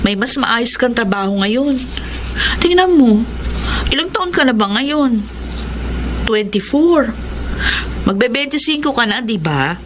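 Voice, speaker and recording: A woman playing a proud, sharp-tongued young mother in a radio drama, with a Filipino accent and a blunt, nagging way of talking. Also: a little high, expressive, unhurried, long pauses. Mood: scornful, nagging. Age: young adult. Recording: clear background noise and ambience.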